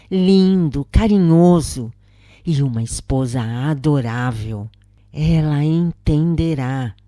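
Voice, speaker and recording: A woman narrator voicing a gentle, encouraging inner voice of hope, earnest and reassuring, in a story reading. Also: very deep voice, no echo, studio quality, full character voice.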